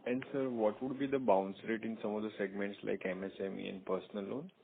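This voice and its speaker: A man's voice: fairly low pitch, fairly even intonation, a little fast, vocal fry. A man with an Indian accent politely putting questions as an analyst on an earnings call, over a phone line.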